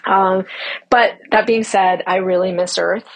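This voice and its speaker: A woman's voice: medium pitch, steady tone, measured pace, poor audio. A woman speaking as a mission commander, giving an informal audio log update in an upbeat, emphatic manner. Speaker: mission commander of a Mars analog crew.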